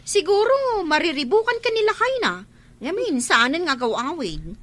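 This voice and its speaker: Radio drama: nosy, brash village busybody, Ilocano accent, high animated voice, taunting delivery. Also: female voice, normal speed, exaggerated voice. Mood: gossipy. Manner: taunting, exclamatory. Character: Petra, brash, nosy gossiping village neighbour woman.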